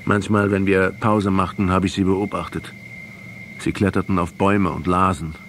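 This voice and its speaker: An actor in a radio play playing a probing male essayist who speaks in a deliberate, insistent way. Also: deep voice, flat delivery, fairly bright tone, slight background noise. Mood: reflective. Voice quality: husky. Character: probing, measured male essayist from Buenos Aires.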